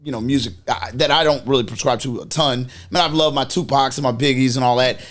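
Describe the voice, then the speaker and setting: A man's voice: medium pitch, steady tone, quick pace, fairly bright tone. A man in his early forties in a casual group chat, talking in a slangy, nostalgic way with some swearing. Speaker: podcast panelist.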